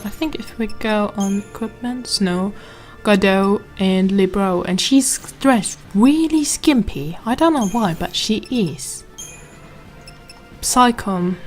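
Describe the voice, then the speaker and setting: German-accented woman doing game commentary. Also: mid-range voice. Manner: chatty, reads in-game text aloud with stumbles. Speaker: gameplay commentator. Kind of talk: let's play commentary. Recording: game music behind.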